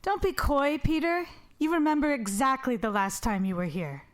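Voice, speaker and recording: A woman's voice: high voice, normal speed, natural voice. An actress playing a wounded, guarded woman with a terse, dry, sarcastic manner in a naturalistic audio drama. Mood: hurt, confrontational. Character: guarded, wounded, sardonic woman rebuilding her life. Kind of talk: naturalistic radio drama acting.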